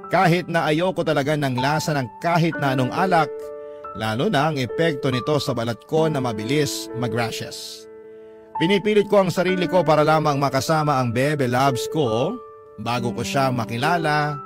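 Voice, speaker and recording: High-pitched male radio drama narrator. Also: normal speed. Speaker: narrator reading a letter sender's story. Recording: clear music bed behind narration.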